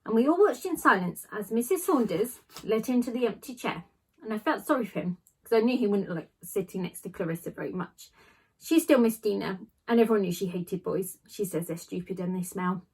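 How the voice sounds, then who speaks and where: A woman reads a children's novel aloud like a teacher to a class, stressing words and pausing for drama. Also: medium pitch, animated, breathy edge, rough recording.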